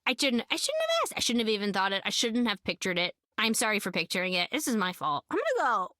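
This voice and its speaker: A woman voicing a bubbly young heroine with squirrel friends, rambling excitably in a video game. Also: medium pitch, fast talker, no room sound, studio quality.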